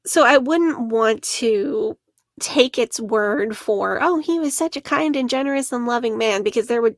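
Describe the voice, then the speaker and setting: A woman's voice: a little high, no echo, clean studio sound. Earnest woman podcast co-host. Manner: earnest, analytical, laughs between points. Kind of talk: casual podcast discussion.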